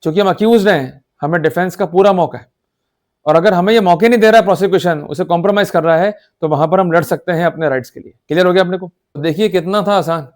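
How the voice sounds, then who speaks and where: A man's voice: high-pitched, fast, darkish tone. A man with an Indian accent giving an explanatory law lecture in a didactic, emphatic manner, often checking that listeners follow. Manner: didactic, emphatic, checks understanding with repeated short questions. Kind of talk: explanatory lecture in Hindi mixed with English legal terms.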